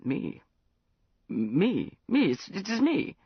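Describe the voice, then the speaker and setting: An actor playing a drunk, lovesick, melancholy prince who talks in slow, slurred, pleading phrases. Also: male voice, deep voice, huge pitch swings, gravelly, husky, no room sound, exaggerated voice. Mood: insistent. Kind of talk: audiobook character acting. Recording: dull lo-fi recording.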